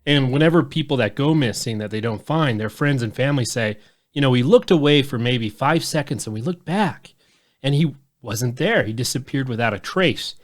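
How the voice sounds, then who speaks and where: A man in his thirties chatting on a podcast at a middle pitch. He rambles loosely, circles back on himself and drops curse words without a second thought. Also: expressive, moderate pace. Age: 30s. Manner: loose and rambling, swears freely. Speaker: podcast host. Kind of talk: casual podcast chat.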